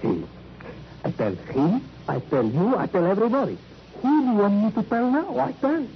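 A man playing an excitable, indignant travel agent in a radio drama, with a Spanish accent and emphatic, halting phrasing. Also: sits high. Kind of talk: classic radio crime drama acting. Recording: dull old radio recording, faint noise.

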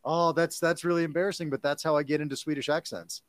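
A man's voice: fairly high pitch, dry recording. Upbeat man with a dry close voice. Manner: animated and jokey, quick to laugh. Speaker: guest, actor and audiobook narrator. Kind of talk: casual chat.

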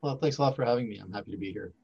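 A man's voice: fairly low pitch, rapid-fire, gravelly, a little mellow, breathy edge. A man in his forties whose first language is French, talking as an interview guest with many fillers and restarts. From Montreal, Canada. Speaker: archaeology professor, interview guest. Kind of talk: casual interview talk. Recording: dull lo-fi sound.

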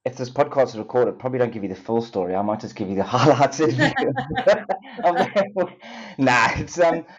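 A man's voice: mid-range voice, expressive, moderate pace, husky. A middle-aged man with a South African accent, a company co-founder chatting as a guest, rambling with many restarts and easy laughs. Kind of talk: casual interview talk. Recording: dull lo-fi sound.

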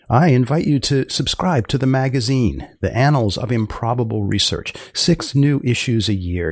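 A man hosting a podcast, asking questions and presenting in a deadpan, probing manner. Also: lowish voice, breathy edge, no room sound, clean studio sound. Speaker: podcast host.

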